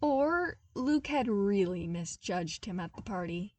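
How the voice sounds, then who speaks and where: A woman's voice: mid-range voice, lively intonation, slow pace, darkish tone. Woman narrating a story, slightly distant mic. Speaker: podfic narrator reading fan fiction. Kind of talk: expressive scripted story narration.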